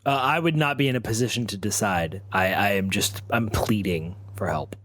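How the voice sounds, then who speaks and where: A man with a slightly low voice, recorded without room echo. He plays in a tabletop game, describing his actions casually and a little hesitantly. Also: normal speed, fairly bright tone. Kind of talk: casual improvised role-play.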